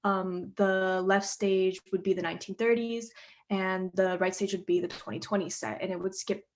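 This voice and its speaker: Teen playwright on a video call, earnest and thoughtful, mid pitch. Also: female voice, fairly even intonation, moderate pace. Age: teens. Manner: thoughtful, earnest, many ums. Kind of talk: casual panel discussion.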